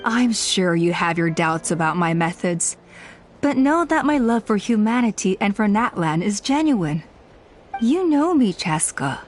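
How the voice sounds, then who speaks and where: An actress playing a proud, self-righteous clan elder woman in a video game, speaking in measured, formal, persuasive speeches. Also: medium pitch. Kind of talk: scripted video game voice acting. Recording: music under the voice.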